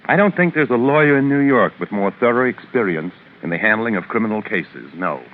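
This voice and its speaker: An actor playing a proud, calculating New York criminal lawyer with a guilty secret, narrating in a measured, articulate 1940s radio drama style. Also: male voice, lowish voice, animated, a little hiss or hum, natural voice. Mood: coldly analytical. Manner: measured, articulate, self-important. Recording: narrow, muffled vintage radio recording with music.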